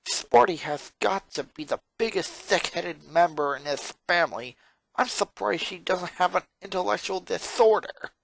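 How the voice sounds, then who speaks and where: A performer voicing a four-year-old girl genius from a cartoon fan story, monotone and sarcastic, with pedantic, wordy phrasing. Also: mid-range voice, normal speed, creaky, pitched above the performer's own voice, rough recording. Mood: irritated.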